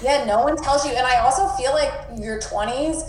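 A woman's voice: high-pitched, moderate pace, darkish tone, reverberant, slight background noise. A woman in her mid-twenties, from the United States, talking candidly in a casual chat, swearing freely and filling her talk with "like". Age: 20s.

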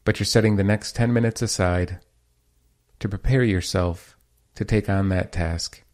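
A man leading a guided meditation as host, giving gentle, measured instructions with pauses between phrases. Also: fairly low pitch, steady tone.

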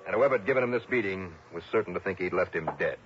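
An actor in a 1960s radio drama playing a tough but concerned insurance investigator, speaking in a clipped, direct way. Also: male voice, low-pitched, rapid-fire, music in the background, natural voice. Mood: matter-of-fact. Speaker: lead and narrator of detective serial. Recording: dull, noisy old radio recording.

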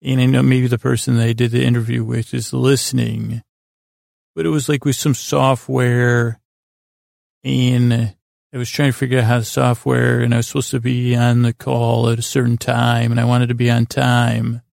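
A man hosting a bedtime podcast in a gravelly voice, rambling in a halting, stammering, self-deprecating monologue. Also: mid-range voice, little pitch movement, a little mellow, no room sound, clean studio sound. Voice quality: gravelly, creaky. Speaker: podcast host.

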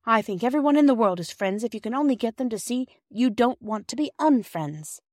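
A woman's voice: on the high side, lively intonation, fairly quick, a little mellow, no room sound, clean studio sound, pitched above the performer's own voice, lightly acted. A woman voicing an eager, kind-hearted young girl in a storybook reading, earnest and full of exclamation. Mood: affectionate.

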